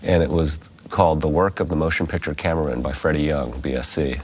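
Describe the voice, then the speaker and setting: A man's voice: a bass voice, fairly even intonation, fast talker, a little hiss or hum. A male cinematographer in an interview telling how he discovered the craft. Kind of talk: interview storytelling.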